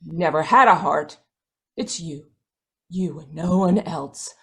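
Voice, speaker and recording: A woman playing a frightened, bitter middle-aged wife in an old-time radio drama, intense and pleading, with a husky voice. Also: deep voice, takes it slowly, exaggerated voice. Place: indoors, live video call performance.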